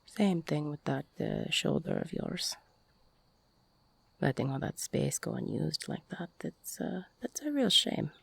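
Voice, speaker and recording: A woman playing an older, tough ex-con girlfriend in an intimate audio roleplay, tender, teasing and insecure, confiding haltingly in a husky, half-whispered voice. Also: low voice, big pitch swings, fairly bright tone, dry recording, exaggerated voice. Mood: playful, teasing. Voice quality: husky, gravelly, breathy.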